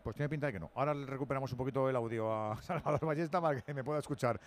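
A man's voice: mid-range voice, very fast. A man with an accent from Spain hosting live sports radio in a casual, bantering, teasing way. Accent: Spanish (Spain).

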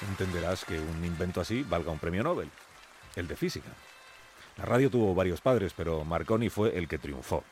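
A man with a Castilian Spanish accent narrating a scripted radio story in a dramatic, deliberate way, in short suspenseful phrases. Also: deep voice, animated, music in the background, crowd noise.

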